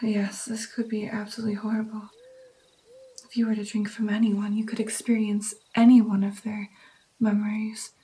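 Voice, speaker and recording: A woman doing whispered ASMR roleplay as a gentle, caring vampire mentor, with an intimate, breathy delivery. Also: medium pitch, completely flat delivery, moderate pace, poor audio.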